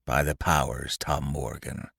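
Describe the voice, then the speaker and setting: A man voicing a bluff, crafty, excitable tavern landlord and old seaman in an audiobook, blustering in rustic sailor's grammar. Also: a bass voice, a little slow, gravelly, no room sound, clean studio sound, voice pushed down, exaggerated voice. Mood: stern, scornful. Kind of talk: audiobook character voice.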